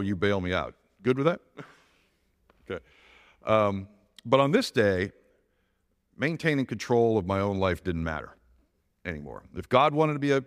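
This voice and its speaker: A man's voice: on the low side, in a large hall. A middle-aged man with a big voice, giving an earnest personal testimony as a church elder, often restarting his sentences.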